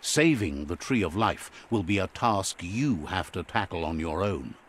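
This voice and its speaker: An actor playing a solemn storybook narrator of a fantasy video game, speaking in a measured, grave way. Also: male voice, deep voice, lively intonation, a little hiss or hum.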